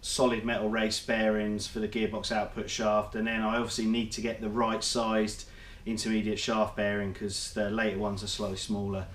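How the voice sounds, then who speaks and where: Man, stuffy nasal voice from a cold, flat steady delivery, roomy workshop echo. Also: on the low side, normal speed, few pauses, slight background noise. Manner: methodical, explanatory, with fillers. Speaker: motorcycle engine builder. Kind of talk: workshop how-to vlog.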